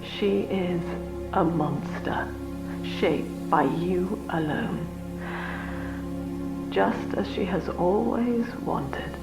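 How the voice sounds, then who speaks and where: Casting: cerebral middle-aged female cult leader, video game. Slow, measured, oratorical, gravelly edge. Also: fairly low pitch, over loud music. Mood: bitter. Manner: measured, solemn, precisely enunciated, oratorical. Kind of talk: dramatic video game monologue.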